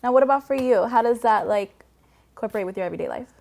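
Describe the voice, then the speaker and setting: A woman's voice: on the high side, very fast, gravelly, a little mellow. A woman hosting a casual interview, upbeat and encouraging. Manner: upbeat, lots of laughs and encouraging backchannels. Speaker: host.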